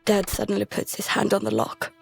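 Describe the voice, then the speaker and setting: A woman playing a frightened, guilt-ridden daughter in an audio drama, narrating and speaking in an anxious, halting, hushed way. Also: medium pitch, very animated, creaky, slightly rough, heavily acted. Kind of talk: audio drama, first-person present-tense narration and dialogue. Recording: music behind the voice.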